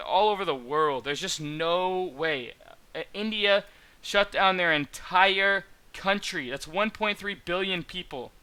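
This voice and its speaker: Young guy on a headset mic rambling about trades, bit stuffy sounding, bright clear voice. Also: on the high side. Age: 20s. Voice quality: slightly stuffy nasal. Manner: rambling, repeats words, emphatic asides. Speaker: day trader streamer. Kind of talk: casual market commentary. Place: small room indoors.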